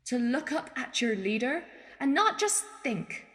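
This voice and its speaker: An actress playing a tough, plain-spoken ex-military leader in a radio play, making a frank and earnest speech. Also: female voice, high voice, very animated, measured pace, a bit bright, exaggerated voice. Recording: long hall reverb.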